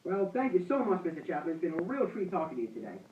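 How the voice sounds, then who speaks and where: A man's voice: high voice, big pitch swings, fast talker, phone-quality sound, a little hiss or hum. A young man playing a gushing, folksy host in an amateur 1920s radio broadcast. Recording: roomy, distant-miked amateur recording, thin sound.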